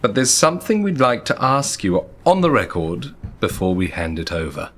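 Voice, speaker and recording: A man's voice: medium pitch, very animated, normal speed. An actor playing a mischievous, smug twin in an audio drama, silky, teasing and mock-formal as he toys with strangers. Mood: teasing, sly.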